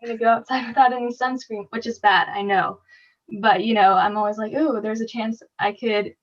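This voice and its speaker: A woman's voice: on the high side, fairly even intonation, a little fast. A teenage girl co-hosting a podcast, chatting casually and laughing often. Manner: chatty, laughs often, lots of "like" and "you know". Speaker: podcast co-host. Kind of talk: casual chat. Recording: slightly dull lo-fi audio.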